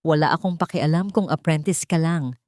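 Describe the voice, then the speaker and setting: Audio drama role: stern rich older matriarch read by a woman, low, formal, commanding, dry sound. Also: moderate pace, a little mellow, no echo, close to an everyday voice. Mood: imperious. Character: stern wealthy older matriarch, imperious but sentimental.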